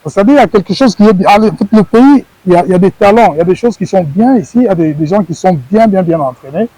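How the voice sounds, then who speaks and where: A middle-aged man from Congo speaks with a somewhat high but mellow, darkish voice. He answers earnestly, often stumbling and repeating words as he finds his phrasing. The sound is dry and close. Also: lively intonation, rapid-fire, no echo, poor audio. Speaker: former wrestler, guest. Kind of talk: TV sports interview answers.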